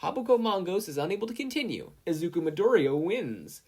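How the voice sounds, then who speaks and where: Casting: man as bold female referee for an audio story, raised pitch, commanding announcer projection, husky edge. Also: very animated, a little fast, exaggerated voice.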